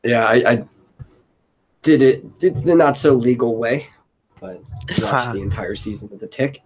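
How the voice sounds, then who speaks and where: A man's voice: medium pitch, a little slow, a crowd in the background, poor audio. A man chatting casually as host of a brainstorming stream. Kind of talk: casual chat.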